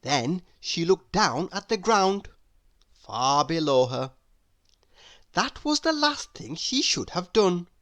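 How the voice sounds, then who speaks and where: A woman reading a children's story aloud expressively, addressing young listeners with dramatic pauses. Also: on the low side, very animated.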